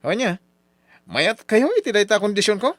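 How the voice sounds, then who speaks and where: An actor playing a domineering, cruel, jealous husband with money, in old radio drama style. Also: male voice, sits high, big pitch swings, moderate pace, a bit bright, no room sound, faint music behind. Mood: calculating. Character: domineering rich suitor turned cruel, jealous gambler husband.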